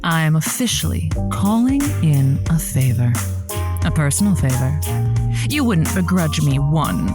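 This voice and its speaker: An actress playing a late-night radio host, sharp-tongued and teasing, with music behind her. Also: sits low, very animated, unhurried, heavily acted. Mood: sultry on-air. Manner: indignant and teasing, sultry on air. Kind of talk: audio drama acting.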